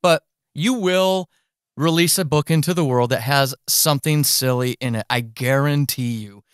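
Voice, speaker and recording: A man's voice: mid-range voice, moderate pace, clean tone, no room sound, clean studio sound. A man hosting a casual podcast interview, speaking directly to listeners in an encouraging way. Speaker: podcast host and fiction author.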